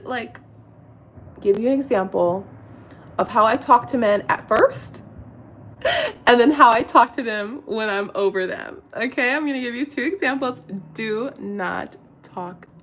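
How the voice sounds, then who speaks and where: A middle-aged woman talking at an ordinary middle pitch in a relaxed, confiding vlog chat. She rambles, restarts her sentences and leans on filler words. A faint room hum sits behind her. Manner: chatty, confiding, lots of fillers and self-restarts. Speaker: vlogger. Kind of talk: casual get-ready-with-me chat.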